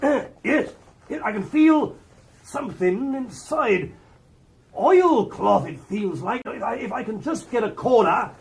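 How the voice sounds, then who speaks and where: Husky, blustering English earl. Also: male voice, very high-pitched, animated, unhurried, exaggerated voice. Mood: startled, intent. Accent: upper-class English. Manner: blustering, exclamatory, stammering. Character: blustering, impatient English earl, gruff but grateful. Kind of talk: period radio drama acting.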